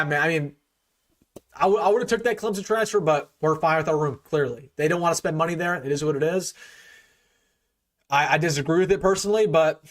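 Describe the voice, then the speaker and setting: A young man hosting a casual live sports stream, opinionated, full of restarts and fillers, his voice getting a bit worn. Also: fairly high pitch. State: losing his voice a little.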